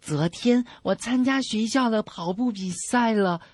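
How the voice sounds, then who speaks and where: Woman putting on a high-pitched little joke schoolboy. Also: a little slow, a little mellow, no room sound. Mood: earnest boasting.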